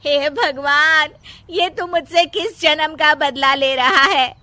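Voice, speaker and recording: A woman's voice: high-pitched, takes it slowly, sharp tone, no echo, voice pushed up, heavily acted. A woman voicing a melodramatic, scolding older mother-in-law in a cartoon moral story. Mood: wailing, aggrieved. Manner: scolding, dramatic wailing and complaining. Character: nagging, melodramatic older mother-in-law obsessed with having a grandson.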